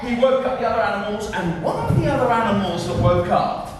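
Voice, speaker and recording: A man telling a story live to an audience of children and adults, animated and dramatic, putting questions to his listeners. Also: sits high, fast talker. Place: reverberant room with a live audience. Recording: echoey hall, distant mic, noisy.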